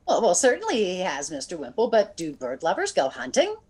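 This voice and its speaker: A woman playing a long-suffering, teasing wife with dry wit and a gently nagging manner in an old-time radio comedy. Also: on the low side, huge pitch swings, brisk, husky, exaggerated voice. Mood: polite. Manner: polite, gently nagging, wry.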